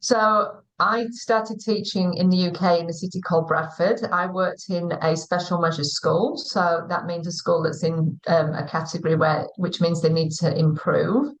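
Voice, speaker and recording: Low-voiced English woman on a video call. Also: fairly even intonation, low-quality recording. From England. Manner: measured, unhurried storytelling with many "um"s. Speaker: guest, school director of teaching. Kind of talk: conversational livestream interview.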